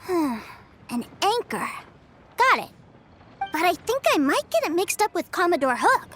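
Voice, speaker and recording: Eager little boy, very high and lively. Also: measured pace, a little hiss or hum, full character voice. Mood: thoughtful, innocent. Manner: childlike, wide-eyed, excitable. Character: eager, naive little boy, curious and innocent. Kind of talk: animated game voice acting.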